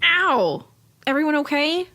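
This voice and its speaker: Sweet fretful audio-drama heroine, female actor, very high voice, lively swoops. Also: unhurried, loud music behind the voice. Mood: anxious, sweet. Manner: fretful, animated.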